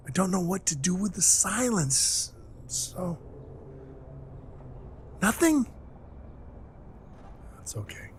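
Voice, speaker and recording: A man acting in an audio drama as an awkward, gruff but kindhearted Christmas dwarf who rambles earnestly and runs himself down. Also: fairly high pitch, exaggerated voice. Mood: tender, hesitant. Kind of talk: audio drama acting with first-person narration. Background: music under the voice.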